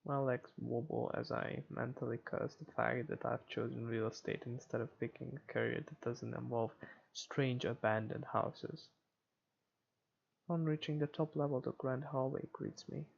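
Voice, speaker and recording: A man narrates a visual novel playthrough in a mid-pitched voice with a creaky, gravelly edge. He reads a little haltingly, correcting himself as he goes. The recording is dull and lo-fi. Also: fairly even intonation. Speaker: video game let's player. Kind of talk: reading visual novel text aloud with off-the-cuff comments. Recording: dull lo-fi home recording, faint noise.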